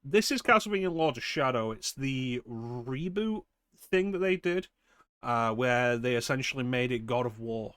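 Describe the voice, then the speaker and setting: A man's voice: medium pitch, expressive, normal speed, fairly bright tone, clean tone, dry recording, studio quality. Wry, chatty male game streamer. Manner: wry, chatty, answers chat. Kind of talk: casual chat while playing. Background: game music.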